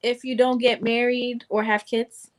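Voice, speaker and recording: Podcast panelist, woman, late 20s. Higher-pitched voice, casual and slangy, joking, animated delivery. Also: a little slow. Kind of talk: casual group conversation.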